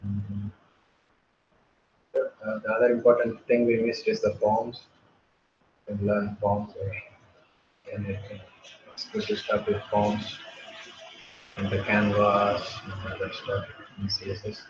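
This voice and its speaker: Indian man, lowish voice, relaxed halting workshop teacher; muffled roomy call audio, faint background hiss. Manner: casual, halting, many fillers and restarts. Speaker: workshop guest speaker. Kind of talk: live online coding workshop.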